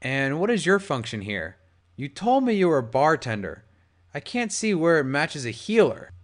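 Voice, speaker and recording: A man voicing an earnest young stallion pony who keeps asking questions. His voice rises and falls with lively inflection, sitting a little high for a man. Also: moderate pace. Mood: curious. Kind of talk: audiobook character voice.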